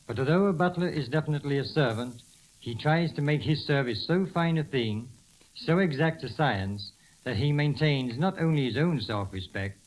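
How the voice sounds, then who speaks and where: A man's voice: medium pitch, rough recording. A man speaking as a butler guest in a scripted 1930s radio talk, formal and deferential, on an old hissy recording.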